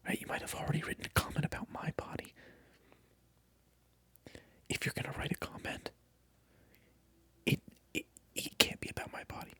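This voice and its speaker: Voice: normal speed, pauses often, pushed and bright. A person performing a whispered ASMR monologue close to the microphone, addressing the viewer in a teasing, mock-indignant, repetitive way. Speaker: ASMR video creator.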